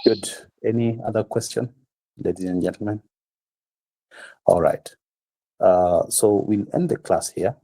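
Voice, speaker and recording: Deep-voiced hoarse male lecturer. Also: fairly even intonation, warm tone, rough recording. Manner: measured, explains step by step with frequent "okay" and "uh". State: slightly hoarse. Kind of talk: university lecture. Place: classroom.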